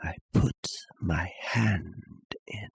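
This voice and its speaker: A man voicing an obsessed madman who insists he is sane, in a theatrical, halting dramatic horror reading with a breathy, husky voice. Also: deep voice, dry recording, full character voice. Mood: insistent, sly. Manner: halting, theatrical, with long pauses and sudden swells. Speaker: narrator.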